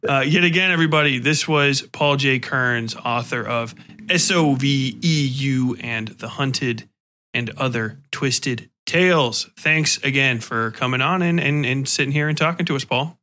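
A man's voice: medium pitch, measured pace, pushed and bright. A man hosting a podcast in a casual, informal, slangy way. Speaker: podcast host interviewing an author.

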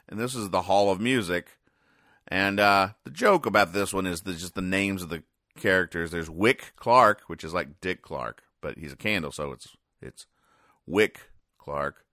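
A man with a deep voice and a bright, forward edge hosts a casual recap show. He rambles and halts in a deadpan way. The sound is close and dry, with hardly any room around it. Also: no echo. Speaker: podcast host. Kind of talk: casual comedic recap of newspaper comics.

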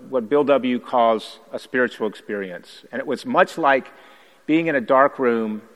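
A man's voice: mid-range voice, a bit bright. Earnest man speaking in a reverberant hall. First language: Haitian Creole. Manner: earnest, reflective, measured. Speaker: priest and pastor. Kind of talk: personal recovery testimony.

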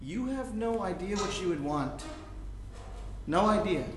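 Actor as an exasperated younger brother, high-pitched. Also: male voice, animated, unhurried, slight background noise. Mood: wounded, irritated. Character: exasperated, anxious younger brother standing his ground. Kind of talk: staged reading of a comedic play. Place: indoor room before a live audience. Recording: roomy live stage recording.

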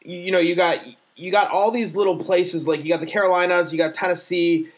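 A man hosting a relaxed conversation, speaking in a somewhat high voice. He stumbles over his openings and repeats words before finding his point. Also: brisk. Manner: stammering restarts, relaxed. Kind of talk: casual chat.

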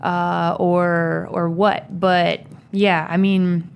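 A woman's voice: on the low side, little pitch movement, very slow, creaky, clear, resonant tone. A woman in a casual, conversational film discussion as a co-host, speaking informally.

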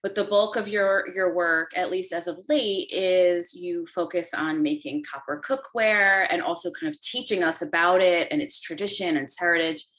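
Enthusiastic woman host, slightly low voice. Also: normal speed, few pauses, fairly bright tone. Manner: enthusiastic, meandering with restarts. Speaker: podcast host. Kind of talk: casual interview chat.

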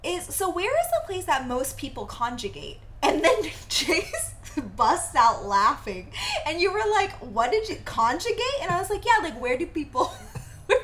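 A young woman whose first language is Vietnamese, co-hosting a podcast and telling a story in a giggly, animated way. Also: extremely high pitch, unhurried, slight background noise. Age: young adult. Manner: giggly, animated, laughs often. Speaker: podcast co-host. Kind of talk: casual storytelling chat.

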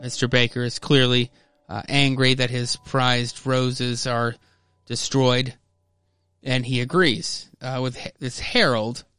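A man's voice: medium pitch, fairly even intonation, takes it slowly, bright, forceful tone, smooth, no echo, soft background music. A man hosting a podcast, narrating a comic story and adding casual commentary as he goes. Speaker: podcast host.

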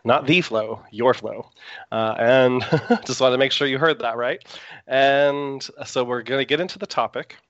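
A man's voice: mid-range voice, unhurried, a bit bright, dry recording, muffled recording. A man hosting a live internet radio talk show, chatty and meandering with frequent fillers, speaking through a sore throat.

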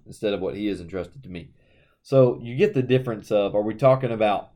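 A man's voice: on the low side, warm tone. A man co-hosting a casual Bible discussion, talking earnestly and conversationally with plenty of "you know"s. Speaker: Bible study co-host.

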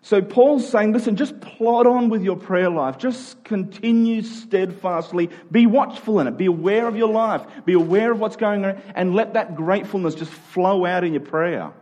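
A man's voice: high voice, moderate pace, hardly any pauses, darkish tone. A man preaching a conversational sermon, earnest, repeating key phrases for emphasis.

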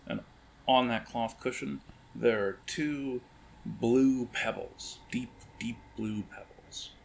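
Man, game master, creaky voice, casual tabletop narration, hesitant rambling phrasing, faint noise. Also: medium pitch, takes it slowly.

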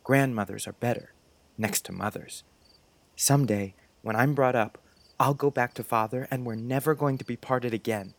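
A man's voice: medium pitch, steady tone, moderate pace, no room sound, natural voice. A man playing a sensitive, dreamy little schoolboy in a storybook, speaking in an earnest, wistful, confiding way.

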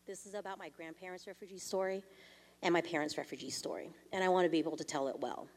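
Young woman from California, earnest and measured, slightly low voice, reading a testimony in a church with long echo. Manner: earnest, measured, with small restarts. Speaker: church speaker sharing family story. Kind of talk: prepared testimony read aloud. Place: church sanctuary. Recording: long reverb of a church hall.

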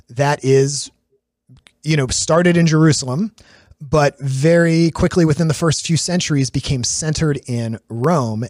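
A middle-aged man, hosting a relaxed conversation about faith. He speaks reflectively, circling back and restarting as he thinks, in a voice of middling pitch. The sound is close and dry. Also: no room sound. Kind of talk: casual discussion.

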